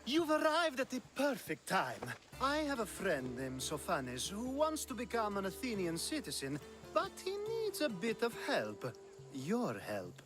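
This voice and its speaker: Game role, flirtatious Greek aristocrat: slow theatrical drawl, hugely animated intonation, sly. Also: male voice, sits high, music in the background, full character voice. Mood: coaxing, sly. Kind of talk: theatrical video game cutscene acting.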